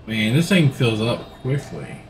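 A man's voice: medium pitch, a little slow, slight background noise. A middle-aged man, chatting casually and rambling while he plays a farming game on a livestream from his home setup. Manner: easygoing, rambling, talks while playing. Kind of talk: casual gaming livestream commentary. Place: home room, seated in a gaming seat.

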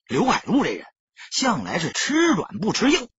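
A man narrates an audiobook in a very husky voice with sweeping, animated delivery. The recording is dry and slightly dull. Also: high voice, normal speed, no echo. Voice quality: husky, raspy. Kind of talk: dramatic scripted novel narration.

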